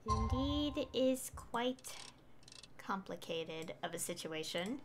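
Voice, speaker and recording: A woman's voice: mid-range voice, animated, slow pace. A woman streaming a video game, giving casual commentary as she plays. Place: stream voice-over with game audio. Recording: game music behind.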